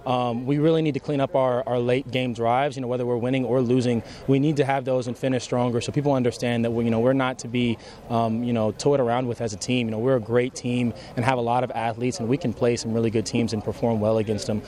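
A young man speaks as a college athlete in an interview. He talks very quickly at a middling pitch, earnest and matter-of-fact in his answers. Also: flat delivery, dark tone. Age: young adult. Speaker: college football player. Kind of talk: post-practice interview answers.

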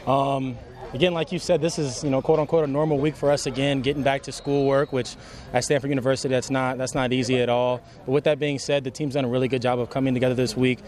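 A young man speaks as a college athlete in an interview. He talks very quickly at a middling pitch, earnest and matter-of-fact in his answers. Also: level tone, a little mellow. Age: young adult. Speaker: college football player. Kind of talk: post-practice interview answers.

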